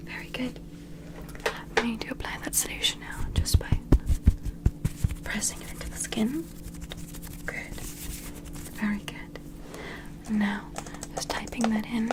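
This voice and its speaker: A woman playing a gentle, attentive alien medical examiner in an ASMR roleplay, whispering slowly and soothingly in a breathy, reassuring way. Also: fairly high pitch, close to an everyday voice, rough recording. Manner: slow, soothing, reassuring, procedural.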